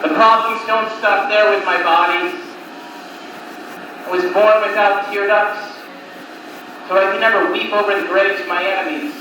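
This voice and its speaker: Echoey, high-pitched man doing slow stand-up. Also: steady tone. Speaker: stand-up comedian. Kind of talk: live stand-up comedy set of one-liners. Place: live comedy venue. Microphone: recorded from a distance on cassette recorder. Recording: noisy cassette tape, echoey room, distant mic.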